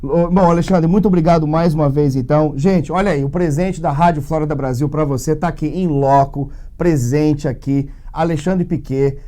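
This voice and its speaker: A man's voice: on the high side, moderate pace, hardly any pauses. A Brazilian man hosting a casual radio interview, chatty and upbeat in a studio. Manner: chatty, warm laughs, upbeat. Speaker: radio host and English teacher.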